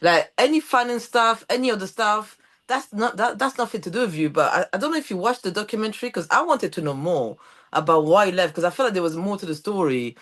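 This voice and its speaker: A woman from Portugal with a Portuguese accent, hosting a podcast interview and telling stories in a chatty, animated way. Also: mid-range voice, quick pace. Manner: animated, storytelling with quoted voices. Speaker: podcast host. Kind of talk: casual interview chat.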